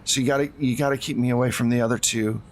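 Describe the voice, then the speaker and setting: A man's voice: mid-range voice, fairly even intonation, quick pace, natural voice. A man voicing a frightened suburban woman cultist in a tabletop roleplay, stammering and pleading. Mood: anxious confession. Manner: stammering, pleading, repeats words.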